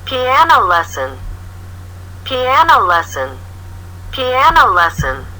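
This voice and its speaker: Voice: mid-range voice, big pitch swings, takes it slowly, pushed and bright, poor audio. A textbook audio narrator reading school subjects aloud, saying each word three times in a listen-and-repeat drill.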